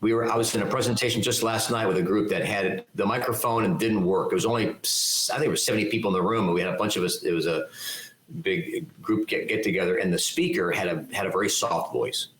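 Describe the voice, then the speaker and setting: An older man, a leadership speaker, answering interview questions in a loud, articulate, emphatic way and laying out his points one by one. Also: lowish voice, fairly even intonation, quick pace, reverberant, poor audio. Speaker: keynote speaker and leadership mentor.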